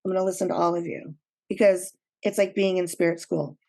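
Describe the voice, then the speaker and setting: A woman's voice: lowish voice, steady tone, fairly quick, gravelly, dark tone. A woman guest chatting casually with a playful, chatty rhythm. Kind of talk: casual chat.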